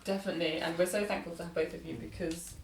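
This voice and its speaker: A woman's voice: lowish voice, steady tone, fast, gravelly. Woman host, distant and echoey. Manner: polite, scripted questions. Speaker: podcast host. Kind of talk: conversational podcast interview. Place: conference venue, indoors. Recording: echoey room, distant mic, faint noise.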